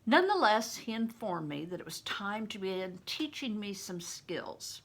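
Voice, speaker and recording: An older woman reading a children's novel aloud expressively, with deliberate dramatic emphasis. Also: medium pitch, big pitch swings, a bit bright. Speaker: audiobook reader, retired teacher.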